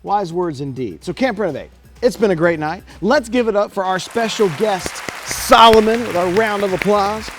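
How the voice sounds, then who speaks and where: A man hosting a comedic talk-show skit, enthusiastic and animated in his patter. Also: high voice, moderate pace, faint music behind, a faint crowd.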